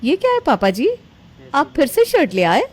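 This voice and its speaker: महिला की आवाज़ में तेज़ ज़ुबान वाली चालाक जवान बहू. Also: female voice, high-pitched, wildly swooping pitch, moderate pace, darkish tone, pitched above the performer's own voice. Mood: resentful. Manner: complaining, manipulative. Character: scheming, sharp-tongued young daughter-in-law, resentful and grumbling.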